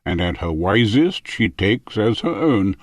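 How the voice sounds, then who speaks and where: A man narrating a philosophical audiobook in a formal, measured manner. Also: lowish voice, very animated, no echo. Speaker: audiobook reader.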